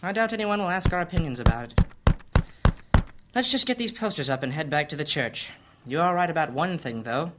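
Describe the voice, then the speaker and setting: A man's voice: on the high side, animated, a little fast, fairly bright tone, a little hiss or hum. Stern priest man with swooping delivery. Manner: stern, emphatic. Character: stern, unforgiving male priest. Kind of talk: fantasy audio drama acting.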